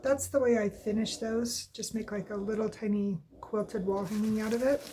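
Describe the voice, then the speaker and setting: A woman's voice: medium pitch, steady tone, normal speed, warm tone, a little hiss or hum. A woman with a stuffy nose from allergies, chatting casually at home in a weekly crafting update, rambling and often correcting herself. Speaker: cross-stitch vlogger. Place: at home, indoors.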